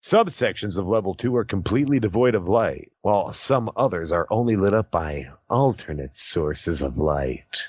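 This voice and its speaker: A man's voice: sits low, animated, moderate pace, no room sound, studio quality. A man hosting a comedy podcast, swearing freely and turning mock-dramatic as he banters and reads aloud. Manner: foul-mouthed, mock-dramatic. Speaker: podcast host.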